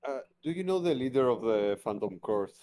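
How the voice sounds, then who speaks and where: A man's voice: mid-range voice, expressive, a little fast, dark tone. A man playing a tabletop roleplaying game, tentatively thinking aloud in casual discussion.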